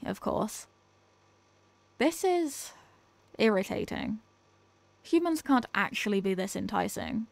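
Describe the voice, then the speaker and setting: A curious female alien scientist, played by a woman in an ASMR roleplay, recorded close and dry. She keeps to formal, clinical phrasing, yet it slips into hesitant, flustered asides that betray a lonely, smitten streak. Her voice sits at an ordinary middle pitch for a woman. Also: no echo, lightly acted. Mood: fascinated, analytical.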